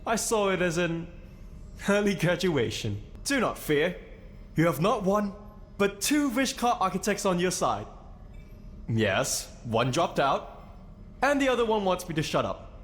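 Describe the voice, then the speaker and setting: An actor playing an upbeat, friendly, teasing architect hero in a video game, with a playful, theatrical manner. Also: male voice, high voice, sing-song, measured pace, long pauses, a little hiss or hum.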